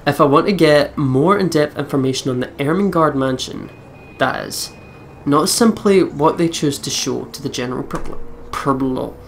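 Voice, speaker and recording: High-ish creaky man reading aloud. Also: normal speed, a little hiss or hum. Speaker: let's play host. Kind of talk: reading visual novel narration aloud, with casual commentary.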